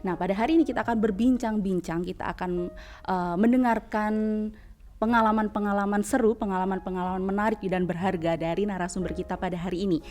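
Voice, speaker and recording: A woman's voice: medium pitch, a little mellow. A woman hosting a podcast, chatty, with many fillers. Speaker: podcast host, lecturer.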